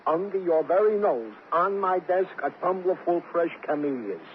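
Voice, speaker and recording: A thin, tinny vintage radio recording brings a fussy police sergeant, a man with a fairly high voice. He is doting and easily wounded, and dresses up every remark in flowery formality. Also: expressive, moderate pace, slight background noise. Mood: aggrieved, smitten. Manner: florid, mangled formal phrasing, aggrieved. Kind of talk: 1950s radio comic-relief character acting.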